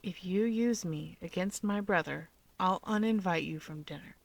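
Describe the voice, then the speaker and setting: A stubborn teenage boy, put on by a woman narrator in an audio story. He talks in a formal, literal deadpan, measured and matter-of-fact, recorded close and dry. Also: lowish voice, vocal fry, dry recording. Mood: threatening.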